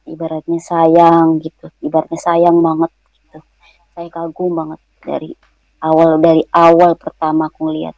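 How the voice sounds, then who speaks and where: A woman speaks in a casual, earnest chat. Her voice is mellow and rather level, with little rise and fall. The sound is dry, with no room around it. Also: deep voice, monotone, normal speed, no room sound, lo-fi sound. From Indonesia (Subang, West Java). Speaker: guest fan.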